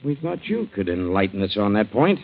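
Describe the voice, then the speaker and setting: A man's voice: fairly low pitch, very animated, brisk, creaky, heavily acted. A man playing a suspicious police detective in a 1940s radio drama, questioning people in a clipped, authoritative way. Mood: probing. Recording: dull vintage 1940s radio recording.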